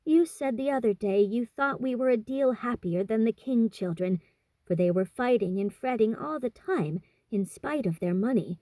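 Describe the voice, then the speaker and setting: A woman voicing a gentle young girl, a storybook peacemaker, with a soft, soothing manner. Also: on the high side, animated, moderate pace, no room sound, close to an everyday voice, low-quality recording. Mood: mild.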